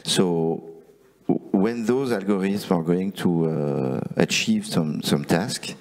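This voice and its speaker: A man on a conference panel, halting and full of restarts, speaking into a handheld mic. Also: sits low, creaky, a little mellow. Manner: halting, many fillers and restarts. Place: conference stage.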